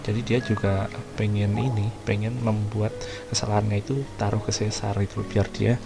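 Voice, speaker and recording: A man's voice: fairly low pitch, flat delivery, a little fast, creaky. A man casually commenting on a game story as a streamer, chatty and slangy. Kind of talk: casual let's play commentary. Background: game music.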